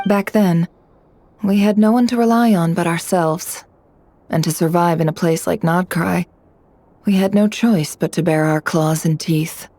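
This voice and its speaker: Poised, low-pitched intelligence broker. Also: female voice, a little slow, warm tone. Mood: reflective. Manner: composed, knowing, smooth. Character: poised, shrewd young woman running an intelligence business. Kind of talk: English video game voice acting.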